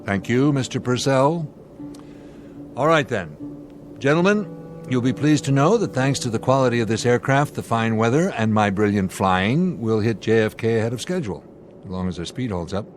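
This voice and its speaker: A man acting a seasoned airline captain in a radio play, with a medium-pitched male voice. He is brisk and used to command, with music running behind him. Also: animated, close to an everyday voice. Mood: genial, confident. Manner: brisk, authoritative.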